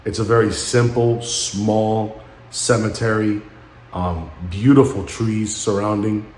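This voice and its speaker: A man in his late thirties speaking in a halting, somber and introspective way, as in a personal vlog monologue. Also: lowish voice, fairly even intonation, darkish tone, reverberant, a little hiss or hum. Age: late 30s. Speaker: vlogger.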